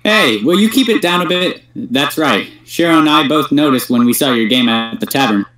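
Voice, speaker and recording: A man's voice: on the high side, lively intonation, normal speed, a bit bright. A man playing a cocky, teasing young gamer and big brother in an amateur anime fandub reading. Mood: smug, teasing. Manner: smug, flippant, stumbles while reading a script. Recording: roomy echoing home voice-chat stream.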